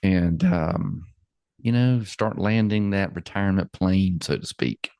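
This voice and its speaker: A man in his forties with a very low, gravelly voice, talking easily as a financial planner on a podcast. He repeats words and laughs easily, and the recording is close and dry. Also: measured pace, dark tone, no echo. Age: 40s. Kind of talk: casual podcast chat.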